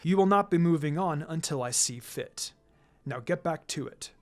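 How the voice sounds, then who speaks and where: A narrator voicing a calm, low-pitched male ninja teacher. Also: soft background music, close to an everyday voice. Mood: calm, firm. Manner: measured, instructive, dryly teasing.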